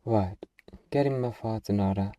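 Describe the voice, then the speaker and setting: A man playing a gruff, defensive young zombie-apocalypse survivor with anxiety underneath, in an ASMR roleplay. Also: on the low side, normal speed, far from the mic, highs cut off, lightly acted. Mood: anxious, hesitant.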